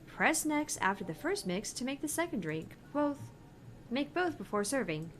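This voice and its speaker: A woman's voice: high-pitched, sing-song, normal speed, slight background noise. A woman gaming streamer chatting casually through a playthrough, joking and swearing freely. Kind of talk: casual playthrough chat with read-aloud game dialogue.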